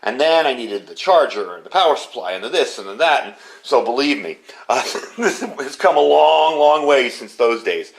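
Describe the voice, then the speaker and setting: A man's voice: medium pitch, lively intonation, normal speed, vocal fry, poor audio. A middle-aged man with an American accent giving a casual, enthusiastic product review in an informal, conversational way. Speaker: product reviewer, amateur radio hobbyist.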